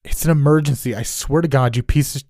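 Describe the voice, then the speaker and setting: A man's voice: mid-range voice, fast talker, a little mellow, no echo, clean studio sound, pitched above the performer's own voice, lightly acted. A man voicing a panicked young man pleading with the police on the phone, urgent and swearing. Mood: frantic.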